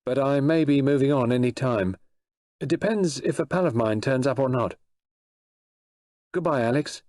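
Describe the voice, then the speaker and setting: A man playing a breezy but proud English gentleman, chatty and polite, in an audiobook story. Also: mid-range voice, moderate pace, no echo, clean studio sound, pitched above the performer's own voice, lightly acted. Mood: stiffly formal.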